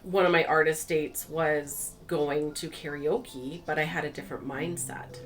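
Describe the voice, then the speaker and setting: A woman vlog host telling personal stories in a casual, animated way, acting out bits of dialogue as she goes. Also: sits low, unhurried, faint music behind.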